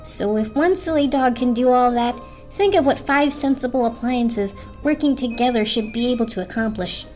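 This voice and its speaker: A determined little toaster played by a woman speaks high and sweetly. It reasons earnestly and diplomatically, as a storybook character over soft music. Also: normal speed, natural voice. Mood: persuasive. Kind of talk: dramatic storybook reading. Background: orchestral film score.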